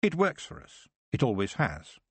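Man reading a calm young witch, practical, reasoning, lively pitch. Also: normal speed, breathy edge, dry recording. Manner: calm, plain-spoken, reasoning.

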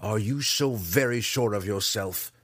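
A man voicing a fierce, derisive dark-elf weapons master, in a husky, raised voice. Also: on the low side, unhurried, no echo. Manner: growling, derisive. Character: fierce taunting male drow weapons master.

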